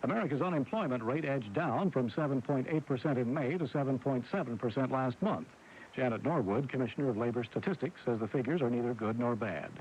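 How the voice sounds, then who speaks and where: A man's voice: mid-range voice, fairly quick, a little mellow, lo-fi sound, a little hiss or hum. A man anchoring scripted radio news on an old AM broadcast recording. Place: radio studio.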